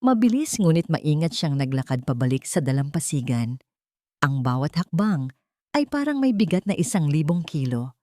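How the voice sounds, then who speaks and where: A woman's voice: sits low, big pitch swings, normal speed, dark tone, dry recording, studio quality. A woman giving a scripted, dramatic narration of a story.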